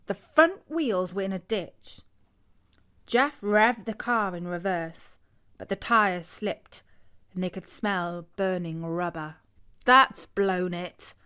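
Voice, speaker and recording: A woman's voice: medium pitch, slow pace, clean tone. Woman narrating a children's story. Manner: expressive, acts out the dialogue.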